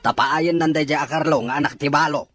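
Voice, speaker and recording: A man's voice: on the high side, steady tone, a little fast, fairly bright tone, faint music behind, natural voice. A man playing a stern, disapproving Ilocano father in an audio drama, scolding emphatically in an Ilocano accent. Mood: scolding, dismissive.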